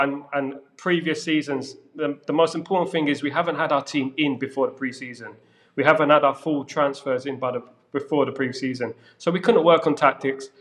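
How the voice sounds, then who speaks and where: A man, a guest giving measured, plain-spoken football views over a video call. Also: mid-range voice, fairly bright tone. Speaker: guest pundit. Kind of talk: casual football chat. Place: room with brick wall, indoors. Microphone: podcast mic on video call.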